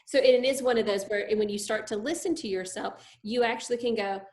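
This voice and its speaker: A woman's voice: mid-range voice, brisk, darkish tone. Chatty middle-aged woman interviewer. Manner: chatty, affirming, many fillers. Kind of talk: conversational interview.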